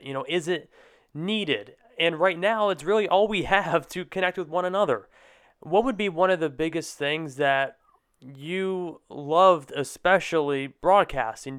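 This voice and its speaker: A man's voice: on the high side, a bit bright, clear, resonant tone. A young man hosting a podcast, asking long, meandering questions in a conversational sports interview. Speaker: podcast host.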